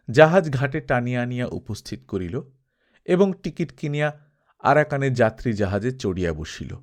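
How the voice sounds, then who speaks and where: Male narrator with a medium pitch. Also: darkish tone. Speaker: audiobook narrator. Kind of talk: scripted literary narration, dramatic storytelling.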